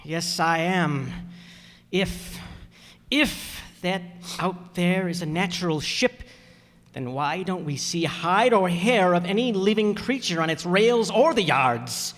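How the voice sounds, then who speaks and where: An actor playing a superstitious, excitable old seafaring uncle in a radio play, with rustic sailor dialect and emphatic warnings. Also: male voice, high-pitched, takes it slowly, full character voice. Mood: ominous. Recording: echoey hall stage recording.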